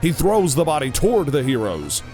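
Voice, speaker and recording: Lively male story narrator. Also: fairly high pitch, normal speed, music in the background.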